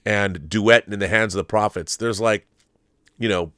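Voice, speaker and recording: A man's voice: on the low side, moderate pace, fairly bright tone, clean tone, no echo, clean studio sound. A middle-aged man hosting a pop culture show, talking casually and with opinions, rambling and repeating words for emphasis. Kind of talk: casual opinionated monologue answering viewer questions.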